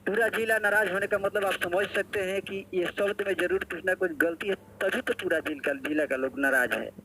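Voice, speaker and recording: A man from Bihar with a Bihari accent, defending himself in a halting, repetitive way on a phone call. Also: high voice, pushed and bright. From Arrah, Bihar, India. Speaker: singer being interviewed. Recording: noisy phone call recording.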